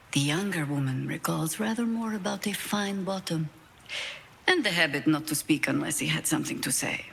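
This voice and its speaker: An actress playing a battle-worn older woman druid in a video game, proud, wry and grieving, speaking in a measured, eloquent, dramatic way. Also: deep voice, a bit bright, a little hiss or hum. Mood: wry, tender.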